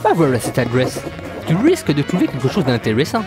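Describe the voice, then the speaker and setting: A man acts as a shifty smuggler and informant, grumbling and conspiratorial. He talks quickly with an animated rise and fall. Music plays clearly behind the drama dialogue. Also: on the high side. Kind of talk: French audio drama dialogue.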